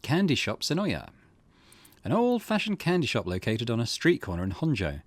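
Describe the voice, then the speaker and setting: A man's voice: mid-range voice, huge pitch swings, moderate pace, no echo. A man hosting a gaming video, reading out a visual novel as narrator. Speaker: gaming host.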